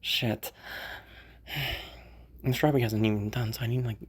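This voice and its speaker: A woman's voice: very deep voice, fairly even intonation, moderate pace, husky. A woman voicing a sociopathic rich young woman who mutters her plans to herself and swears a lot. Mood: irritated. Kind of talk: audio roleplay monologue.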